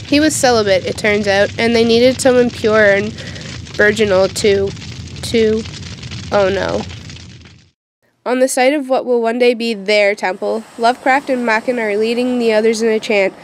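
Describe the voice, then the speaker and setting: A woman's voice: on the high side, slight background noise, lightly acted. A woman playing a frightened teenage witch who tells her own story in a dramatic, youthful way for an audio drama. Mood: dawning dread. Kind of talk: first-person narration in an audio drama.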